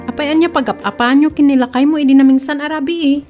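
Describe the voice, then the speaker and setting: A woman's voice: high-pitched, fairly even intonation, fast talker, muffled recording, over loud music, natural voice. A woman with a Filipino Ilocano accent playing an inquisitive, disapproving neighbour friend in a comedy radio drama.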